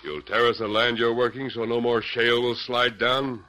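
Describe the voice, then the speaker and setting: An actor playing a calm commanding masked hero. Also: male voice, medium pitch, moderate pace, natural voice. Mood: firm. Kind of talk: 1940s radio acting.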